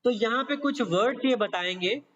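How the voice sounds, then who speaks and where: High-pitched Pakistani man giving a law lecture. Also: normal speed, a bit bright. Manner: explains step by step with repetition and frequent check-in tags. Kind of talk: conversational exam-prep lecture, explaining legal text. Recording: dull, lo-fi lecture audio.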